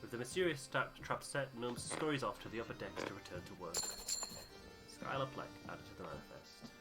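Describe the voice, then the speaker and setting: A man's voice: fairly low pitch, expressive, moderate pace, over loud music. A man hosting a game playthrough, narrating scenes and explaining the rules.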